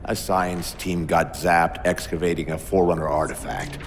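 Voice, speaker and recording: A man playing a gruff, commanding older military captain in a video game cutscene, brusque in manner. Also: deep voice, steady tone, normal speed, big-room sound, faint music behind, natural voice. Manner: brusque, authoritative.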